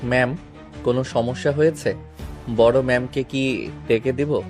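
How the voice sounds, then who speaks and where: A man's voice: medium pitch, steady tone, measured pace, darkish tone, soft background music, natural voice. A man acting a humble, self-deprecating young servant in an audio story drama. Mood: polite, hesitant.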